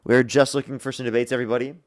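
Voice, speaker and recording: A young man hosting a live debate stream, briskly taking callers and repeating his stock lines to viewers. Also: medium pitch, little pitch movement. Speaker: livestream debate host. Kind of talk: live-stream call-in chat.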